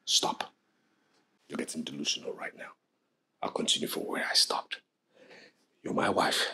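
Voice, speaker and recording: An actor with a Nigerian accent playing an impassioned young son and husband who insistently repeats himself in a screen drama. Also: male voice, normal speed, pushed and bright. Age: young adult. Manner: insistent, repeats phrases for emphasis.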